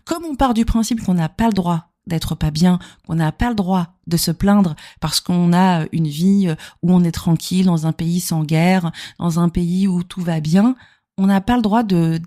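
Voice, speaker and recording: Mellow-voiced woman podcasting. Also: lowish voice, normal speed. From Normandy, France. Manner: conversational, explanatory, punctuated with rhetorical questions. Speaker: podcast host and therapist. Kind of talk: informal solo podcast talk, addressing the listener directly.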